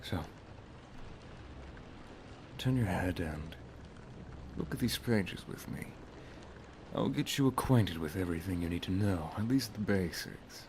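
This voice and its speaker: A man playing an affectionate, teasing wizard master in an ASMR roleplay, speaking softly, intimately and in a measured way. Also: sits low, slight background noise. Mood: gentle, proud.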